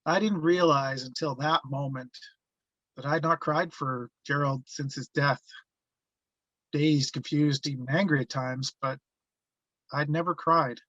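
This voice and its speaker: A man reading a haunted, reflective first-person narrator looking back on his youth, halting in places, on slightly muffled audio. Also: a little high, steady tone, fairly bright tone, dry recording. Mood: reflective, regretful. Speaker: actor reading the narrator at a table read.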